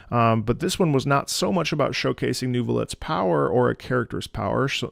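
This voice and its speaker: A man giving casual, analytical reaction commentary, thoughtful and often halting as he searches for words. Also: mid-range voice, gravelly, dry recording, studio quality. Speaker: music reaction host, opera singer.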